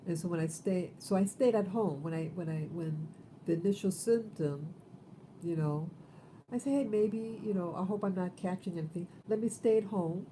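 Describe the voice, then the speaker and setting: A woman in her fifties hosting a casual livestream, talking in a rambling, halting way with many fillers. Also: on the low side, mellow, slight background noise, rough recording. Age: 50s. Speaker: livestream host.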